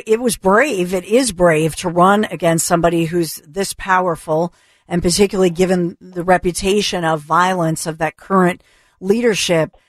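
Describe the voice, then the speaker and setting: A woman radio host asking interview questions, often halting and rephrasing midway. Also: lowish voice, no room sound, clean studio sound.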